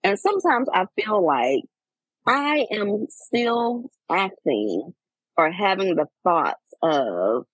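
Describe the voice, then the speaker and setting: A woman in her forties, talking candidly in a casual chat, reflective and animated as she tells personal stories. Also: medium pitch, slow pace, husky, no room sound, poor audio. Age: 40s. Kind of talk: casual heartfelt conversation.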